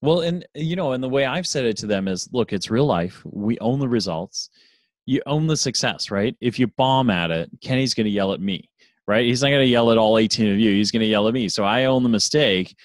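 A man's voice: mid-range voice, animated, no room sound. Eager man, dry close sound. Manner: eager, with lots of quick yeahs and restarts. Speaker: podcast co-host. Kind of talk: casual chat over a video call.

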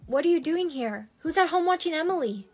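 A woman's voice: sits high, lively intonation, fairly quick, soft background music. A woman acting a young girl in a dramatized spooky skit. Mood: puzzled.

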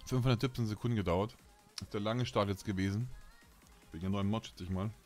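A man's voice: lowish voice, fast talker, soft background music. A man chatting casually on a gaming live stream, teasing and bantering playfully in crude, slangy language. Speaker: game streamer.

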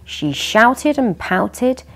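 Expressive British woman reading a children's story. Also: a little high, extremely slow, vocal fry. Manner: animated, addressing young listeners. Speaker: children's story reader and host. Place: furnished living room by a fireplace.

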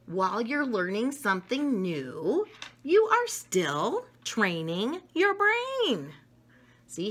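A middle-aged woman reading a children's picture book aloud in an expressive way, with small asides, recorded in a room at home. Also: a little high. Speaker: storybook reader. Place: room at home with wooden cabinets.

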